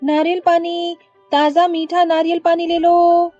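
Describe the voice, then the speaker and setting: A woman's voice: extremely high pitch, little pitch movement, a little slow, warm tone, soft background music. A woman voicing a hardworking, affectionate mother-in-law who sells coconut water in a story, proud and motherly, on muffled audio. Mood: brisk.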